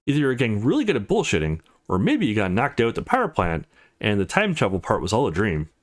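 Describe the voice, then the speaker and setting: A man voicing a brash, teasing, foul-mouthed teenage girl in a visual novel, blunt and excitable. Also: on the low side, fast. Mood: skeptical. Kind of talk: visual novel character reading.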